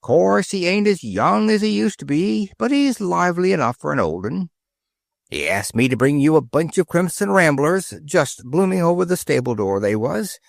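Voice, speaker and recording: A man's voice: on the high side, big pitch swings, normal speed, vocal fry, dry recording, studio quality, voice pushed up. A man voicing a saucy male city sparrow with a Cockney accent, chirpy and drawn out. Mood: cheeky.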